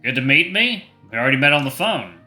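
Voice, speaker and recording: A man's voice: medium pitch, lively intonation, moderate pace, pushed and bright, soft background music. A man voicing a confident, hearty wolf man with a Southern accent in a story. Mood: hearty, amused.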